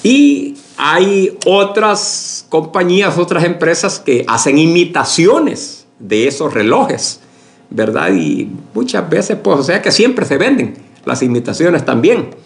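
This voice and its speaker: A pastor preaching a sermon to his congregation, speaking slowly with many fillers and restarts, often asking for agreement. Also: a little high, very animated, creaky. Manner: addresses the congregation as brothers, asks for agreement.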